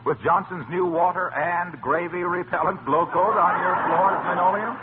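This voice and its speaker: A man's voice: high voice, moderate pace, close to an everyday voice. An actor playing an upbeat, emphatic salesman friend with polished patter, in a 1940s radio advertising style. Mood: upbeat, selling. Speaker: announcer and sponsor pitchman. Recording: tinny old radio broadcast, faint hiss.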